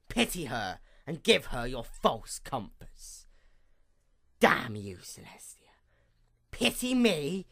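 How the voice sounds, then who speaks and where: A woman voicing a proud, wounded pony goddess of night in a theatrical villain monologue, menacing and grandiose with a gravelly creak. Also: sits low, sharp tone, full character voice. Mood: mocking pity, then bitter outrage. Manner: theatrical, menacing, grandiose, with slow drawn-out emphasis. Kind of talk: dramatic villain monologue, fan fiction reading.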